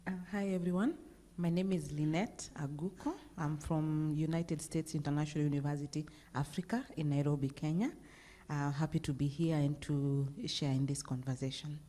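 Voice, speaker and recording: A woman's voice: low voice, steady tone, moderate pace, warm tone. A woman introducing herself as a university representative, friendly and brief.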